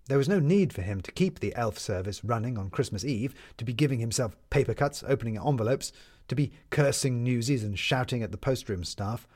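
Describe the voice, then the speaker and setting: Male narrator for a scripted tale: average pitch, measured and lyrical phrasing. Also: animated, a little mellow.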